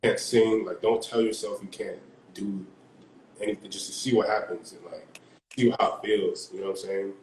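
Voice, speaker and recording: A man's voice: on the low side, fairly even intonation, moderate pace, a little mellow, roomy sound, a little hiss or hum, rough recording. A young man, a music artist and producer, talking casually on a video call from a small room at home, laid-back with lots of fillers.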